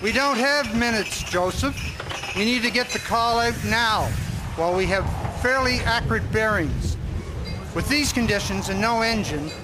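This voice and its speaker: A man acting a grave, decisive trawler skipper in a radio-style sea drama. His voice is high for a man and deliberate, with very loud storm and engine noise all around him. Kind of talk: scripted audio drama.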